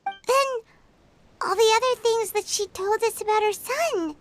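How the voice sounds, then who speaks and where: Game fairy companion: extremely high squeaky little girl, earnest and halting, some noise behind. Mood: troubled disbelief. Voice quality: squeaky, childlike. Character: tiny childlike girl fairy companion, earnest, easily distressed. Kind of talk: video game voice acting.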